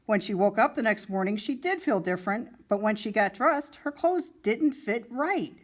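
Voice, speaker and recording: A woman reading a children's storybook aloud in an expressive storytelling style. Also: a little high, moderate pace. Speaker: storybook reader.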